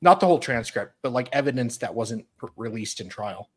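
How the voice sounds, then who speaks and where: A woman's voice: extremely low pitch, animated, fairly quick, husky. A woman chatting casually as a panelist on a livestream. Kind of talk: casual chat.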